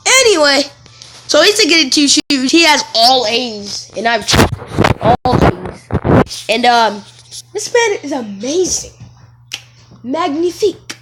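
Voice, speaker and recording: A woman telling casual stories to viewers in an animated, rambling way, often wandering off and correcting herself. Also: high voice, slow pace, pauses often, very noisy. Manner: animated, digressive, self-correcting. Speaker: video creator telling stories.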